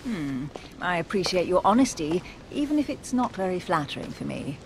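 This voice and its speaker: A woman's voice: sing-song, moderate pace. A woman playing a poised, flirtatious and enigmatic duchess in a video game, refined and teasing. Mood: coolly evasive. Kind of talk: video game cutscene acting. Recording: constant rumble behind the voice.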